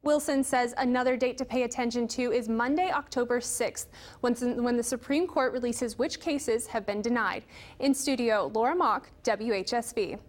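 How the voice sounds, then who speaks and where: A woman's voice: on the high side, moderate pace. A woman working as a news reporter, delivering a scripted report.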